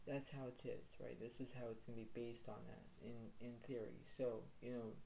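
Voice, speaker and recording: A man giving a casual oracle card reading in a rambling, repetitive way, full of "you know" fillers. He says his voice is low today. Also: flat delivery, moderate pace, vocal fry, warm tone, a little hiss or hum, low-quality recording.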